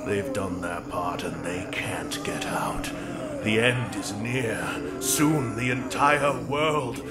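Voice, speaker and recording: A man playing a sinister, servile herald of a dark master, gloating grandly in a horror drama. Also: fairly low pitch, takes it slowly. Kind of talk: fantasy horror web drama acting. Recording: music behind the voice.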